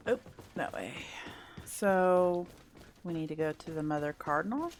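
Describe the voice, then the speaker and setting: Slow, low-toned woman musing over a game. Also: animated, a little hiss or hum. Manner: thinks aloud in short musing bursts. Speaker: gaming video creator. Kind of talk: casual let's play commentary.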